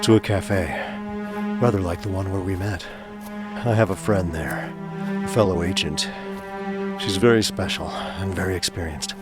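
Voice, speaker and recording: A man's voice: low voice, normal speed, lightly acted. A man playing an earnest, protective romantic hero in a retro science fiction audio thriller, with intense, tender delivery. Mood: tender, reassuring. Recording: music behind the voice.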